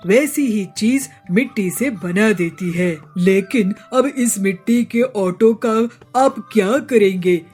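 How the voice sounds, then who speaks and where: A woman's voice: medium pitch, slow pace, few pauses, darkish tone, slightly rough, faint music behind. A woman voicing a poor, humble male bird rickshaw driver, earnest and deferential, in a children's cartoon story.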